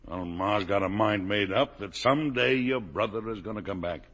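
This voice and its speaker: Folksy American dad for vintage radio drama: low, husky, worried and pleading. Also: male voice, moderate pace, fairly bright tone, highs cut off. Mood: matter-of-fact, resigned. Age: middle-aged. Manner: folksy, colloquial, emphatic. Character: worried, pleading working-class American father. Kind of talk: 1950s radio drama acting. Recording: old 1950s radio broadcast.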